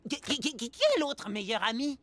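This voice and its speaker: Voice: high-pitched, huge pitch swings, a little fast, very noisy. An actor playing a cocky, jealous pre-teen amoeba boy in a dubbed cartoon, boastful and dramatic. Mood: indignant, excited. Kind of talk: animated cartoon dub acting. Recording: loud music behind the voice.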